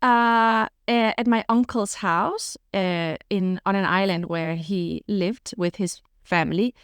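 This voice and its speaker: A woman's voice: medium pitch, slow, smooth, no room sound, studio quality. A middle-aged woman from Denmark, talking frankly and openly as a podcast guest about her past. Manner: frank, open, lively storytelling with frequent "you know". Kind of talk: candid interview storytelling.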